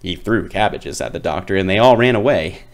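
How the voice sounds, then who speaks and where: A man reading a children's picture book aloud as a storyteller, with dramatic, drawn-out emphasis. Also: sits low, lively intonation.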